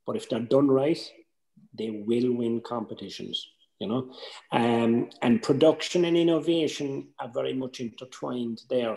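Gravelly older Irish man in a roomy space. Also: medium pitch, darkish tone. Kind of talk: informal workshop talk. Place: indoor room at home, on a video call. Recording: roomy home video-call audio.

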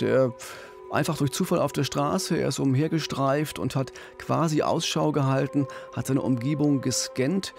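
A man's voice: mid-range voice, gravelly. A middle-aged man telling a crime story conversationally as a podcast host, close on a studio mic. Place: small radio studio. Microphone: close studio boom mic with pop filter.

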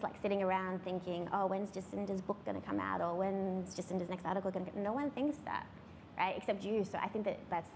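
A woman, a writer making emphatic points in quick conversation on a panel. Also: lowish voice, slight background noise, poor audio. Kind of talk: panel discussion. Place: large hall, seated on stage.

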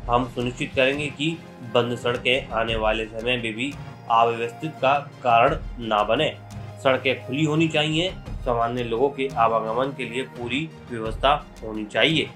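A male news presenter narrates a scripted report in a mid-pitched voice. Background music plays beneath his narration. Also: fairly even intonation, normal speed, fairly bright tone.

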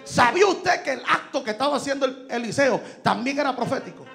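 A man in his thirties preaches from a church stage, his voice very high with a gravelly rasp. He shouts emphatically and slips between Spanish and English. Soft music plays faintly behind him. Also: expressive, a little fast. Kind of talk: Pentecostal sermon. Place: large church stage indoors. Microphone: handheld microphone.

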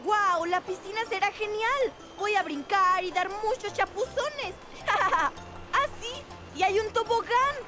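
An actor voicing an excitable little boy rabbit with a Latin American accent in a cartoon dub, childlike and full of exclamations. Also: extremely high pitch, measured pace. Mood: excited. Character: excitable little boy rabbit, eager but easily discouraged. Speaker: cartoon character. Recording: cartoon music behind voice.

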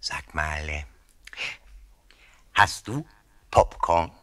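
An actor playing a cheeky, boastful, excitable little ghost in a children's radio play, huffy and given to stammers and whoops. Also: male voice, rumbling low, extremely slow, exaggerated voice.

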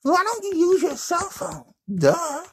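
A man voicing a sassy, blunt girl puppet in a high falsetto for a children's puppet show. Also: wildly swooping pitch, measured pace, creaky, a bit bright, heavily acted.

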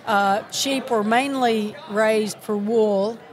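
A woman's voice: on the high side, fairly even intonation, slow pace. A woman in her sixties from Miami with a Southern accent, chatting earnestly and with many restarts in a live interview at a busy convention. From Miami, Florida. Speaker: sheep producers association president.

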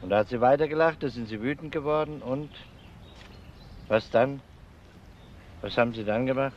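An actor playing a persistent, no-nonsense police detective with a probing, matter-of-fact manner in a crime radio drama. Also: male voice, mid-range voice, normal speed, lo-fi sound, slight background noise, close to an everyday voice. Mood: pressing.

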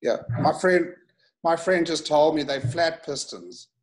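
Higher-pitched older South African man. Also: lively intonation, a little slow, low-quality recording. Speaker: caller asking for car advice. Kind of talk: casual question on a video call. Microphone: device mic on a video call.

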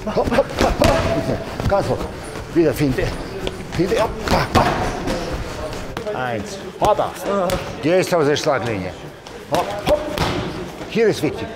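Middle-aged guy yelling punch counts, loud gym noise and thuds behind. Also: on the high side, very animated, takes it slowly, long pauses. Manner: short barked counts and commands. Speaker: boxing coach. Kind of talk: coaching pad drills. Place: large indoor boxing gym.

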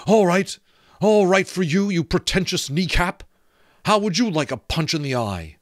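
Storybook magician, young and frustrated, barks sharp lines; a man voices him. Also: on the high side, big pitch swings, fairly quick, dry recording, clean studio sound, pitched above the performer's own voice. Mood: raging. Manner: shouting, snarling bursts. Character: desperate young male magician, fierce and frustrated.

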